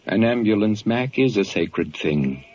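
An actor playing a calm, saintly young intern doctor in old-time radio drama, speaking formally and with gentle, lofty solemnity. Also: male voice, fairly low pitch, measured pace, heavy background noise. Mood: earnest, gentle. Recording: dull old radio recording, loud music.

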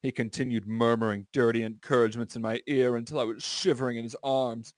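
A man's voice: medium pitch, steady tone, fast talker, dry recording. Male podcast host, mid-range voice, dry studio sound, reads aloud theatrically and drops into banter. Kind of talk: comedic dramatic reading with banter.

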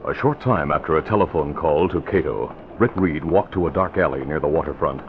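A man's voice: rumbling low, a little fast. A man reading scripted lines as an old-time radio announcer and narrator. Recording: muffled, faintly noisy vintage radio recording.

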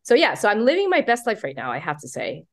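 A middle-aged woman from Taiwan, an author being interviewed, chatting casually and acting out the quotes in her stories with excitement. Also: fairly high pitch, fast. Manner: animated, excited storyteller who acts out quotes. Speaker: author and guest.

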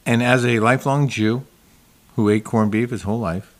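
A middle-aged man co-hosting a relaxed talk show, chatting easily and laughing often between plugs. His voice sits at an ordinary male pitch. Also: moderate pace. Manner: chatty, laughs often, reads viewer comments aloud. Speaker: show host. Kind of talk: casual chat and plugs.

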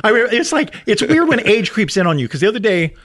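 A man in his forties chatting casually as a podcast guest, swearing freely and telling animated stories. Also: high voice, fast. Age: 40s. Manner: profane, animated storytelling. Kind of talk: casual chat. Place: indoor room.

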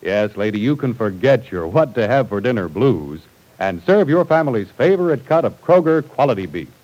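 Animated old-time radio announcer man. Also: medium pitch, brisk, muffled recording. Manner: polished, salesmanlike.

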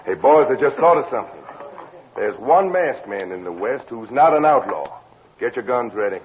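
An actor playing a tough, suspicious outlaw gang boss with a gruff, bossy manner in an old radio western. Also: male voice, mid-range voice, expressive, moderate pace, natural voice. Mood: wary. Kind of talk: 1940s-50s radio western acting. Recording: tinny, noisy old radio recording.

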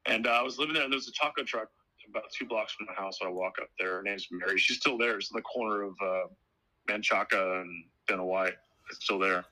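A man with a low, husky voice and a forceful, edgy bite to it, talking as a guest in a relaxed interview. He tells stories in an easygoing way and keeps tossing in "man". The recording is dry and close. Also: quick pace, no echo, low-quality recording. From Houston, Texas. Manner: laid-back storyteller, drops "man" often. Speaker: guest musician. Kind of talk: casual interview chat.

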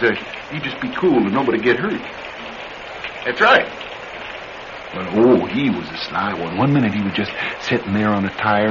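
An actor with a Southern US accent playing a cocky, menacing young escaped killer in a radio drama, folksy and taunting. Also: male voice, lowish voice, lightly acted. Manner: folksy drawl, taunting and boastful. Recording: noisy, dull old radio drama recording.

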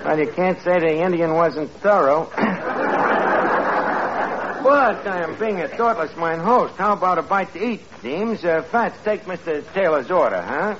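A man's voice: on the high side, lively intonation, a little slow. A man playing a cocky, wisecracking tavern manager with a New York accent and slangy mock-genteel talk, in 1940s radio comedy. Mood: wry, conspiratorial. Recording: muffled noisy 1940s radio, studio audience.

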